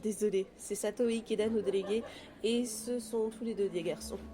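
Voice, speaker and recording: A woman's voice: mid-range voice, moderate pace, dark tone, lightly acted. A woman voicing a polite, helpful teenage schoolgirl, with music behind. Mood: puzzled. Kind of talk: fan audio drama acting.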